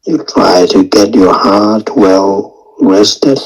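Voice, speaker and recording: A male meditation teacher with a low, husky voice gives a guided meditation talk. He speaks extremely slowly, in short halting phrases separated by long pauses. His English phrasing is non-native. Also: low-quality recording.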